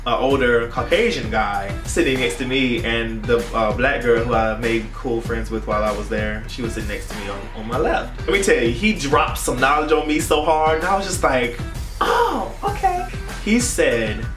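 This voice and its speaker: The recording is echoey, with music behind the voice. A young man speaks at a middling pitch. He tells his story casually and dramatically, with playful, slangy turns of phrase, as a vlogger would. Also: very animated, moderate pace. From United States. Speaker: YouTube vlogger. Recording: roomy echo, distant mic, music behind.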